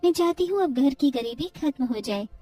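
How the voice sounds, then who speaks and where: A woman's voice: high voice, lively intonation, moderate pace, warm tone, no room sound, recorded from a distance. A woman voicing a meek, suffering young wife and devoted mother in a cartoon story, pleading and earnest.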